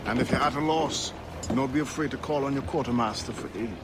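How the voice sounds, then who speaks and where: Man acting dignified, measured ship's quartermaster. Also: mid-range voice, very noisy, natural voice. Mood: stern, sincere. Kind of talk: video game cutscene acting.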